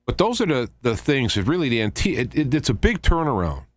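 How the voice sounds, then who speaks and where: A man hosting a talk radio show, giving informal political commentary in a halting, rambling way with conversational asides. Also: mid-range voice, big pitch swings, no echo.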